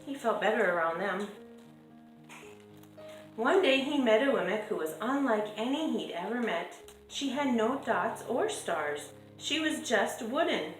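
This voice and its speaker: A woman reading a children's picture book aloud in a measured, lilting storytelling way, drawing out stressed words. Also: a little high, animated. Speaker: storybook reader. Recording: echoey room with music behind. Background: music.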